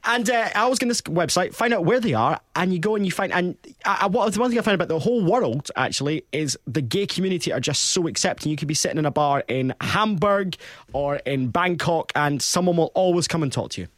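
A fast-talking Scottish man with a slightly raised voice, chatting easily as a co-host. The sound is dry and close, with no room in it. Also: a little high, lively intonation, fairly bright tone, no room sound. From Scotland. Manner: chatty, uses Scottish words. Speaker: radio co-host. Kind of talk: casual chat.

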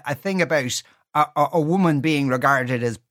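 An Irish man hosting a radio talk show, often halting and restarting his sentences. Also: a little high, fairly bright tone, no room sound, studio quality. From Ireland. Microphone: studio mic.

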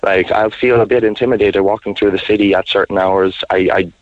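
A man's voice: fairly low pitch, fairly even intonation, brisk, no echo. A man in his twenties calling in to a radio show, talking in a rambling way full of fillers. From mixed, raised largely in the United States. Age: 20s. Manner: rambling, heavy with fillers like "you know" and "like". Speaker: caller. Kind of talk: radio phone-in call. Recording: muffled phone-in line.

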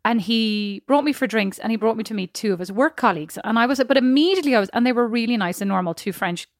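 A woman's voice: fairly high pitch, quick pace, dry recording, studio quality. A young Irish woman co-hosting a podcast, telling stories in a chatty way and acting out what people said. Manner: chatty storyteller, acts out quotes. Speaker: podcast co-host. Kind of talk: casual chat.

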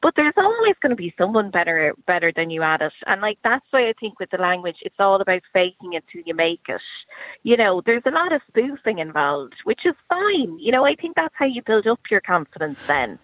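An Irish woman in her thirties or forties, talking with a Kerry accent. She chats as a guest in a lively, animated way and often breaks off and restarts her sentences. Her voice comes through a tinny phone line with no room sound at all. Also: mid-range voice, brisk, no room sound. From Ireland. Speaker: guest comedian. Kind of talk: casual chat. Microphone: phone call.

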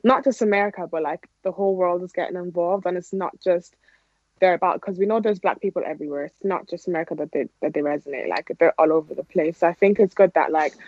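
A woman's voice: fairly low pitch, no room sound. A young woman with an Irish accent giving informal, conversational interview answers peppered with "like". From Ireland. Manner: informal, frequent "like". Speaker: interview guest, athlete. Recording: dull, lo-fi audio.

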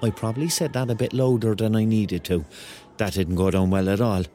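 An actor playing a rambling, excitable thirty-year-old man with a Cork accent, telling his story colloquially in a radio drama. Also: on the low side, a little fast, natural voice. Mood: wry, confiding. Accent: Cork Irish. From Cork, Ireland. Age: 30s. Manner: colloquial, digressive, conversational. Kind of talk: first-person radio drama narration and dialogue.

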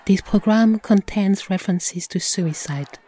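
A woman's voice: medium pitch, measured pace, warm tone, slightly rough. A woman reading scripted radio documentary narration as the programme's announcer.

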